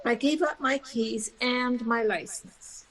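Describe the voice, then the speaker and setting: An older woman playing a reflective character who tells her story in an audio play, measured and deliberate. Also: fairly high pitch, fairly bright tone, slight background noise, rough recording. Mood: resolute, confiding.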